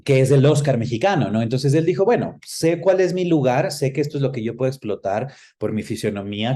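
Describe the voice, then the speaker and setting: A man answering interview questions reflectively, often pausing to search for words. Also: fairly low pitch, mellow. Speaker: actor, interview guest.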